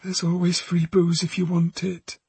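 A man's voice: sits high, monotone, moderate pace, gravelly, a little mellow, breathy edge, no room sound, voice pushed up, exaggerated voice. A man voicing a frightened, defiant injured teenage girl, sullen and wary, in an audiobook. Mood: fearful, reluctant. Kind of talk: audiobook character voice.

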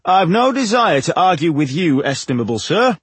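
A man's voice: medium pitch, sing-song, normal speed, a little mellow, husky, no room sound. A man voicing an earnest, firm and polite dwarf traveller in an audiobook, speaking formally and courteously. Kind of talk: audiobook character dialogue.

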